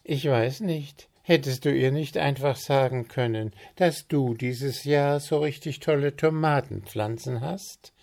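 A man voicing a cheerful male gnome friend who teases gently, in a storybook reading. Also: medium pitch, a little slow, gravelly, hardly any pauses, pitched above the performer's own voice. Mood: teasing.

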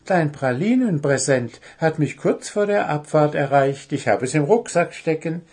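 A man voicing a proud, chatty young fairy woman who talks quickly. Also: fairly high pitch, expressive, darkish tone, breathy edge, voice pushed up.

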